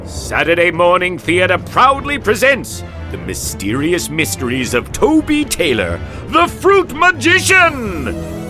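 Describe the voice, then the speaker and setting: A man's voice: sits high, huge pitch swings, takes it slowly. A man narrating in a grandiose, theatrical old-time radio serial style. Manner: grandiose, dramatic. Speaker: announcer and narrator. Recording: music under the voice. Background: music.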